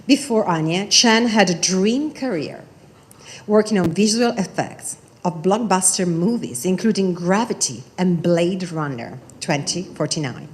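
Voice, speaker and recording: Low-voiced woman chairing a panel. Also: a little mellow, a little hiss or hum. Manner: reads out guest introductions, then poses questions. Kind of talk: formal panel moderation.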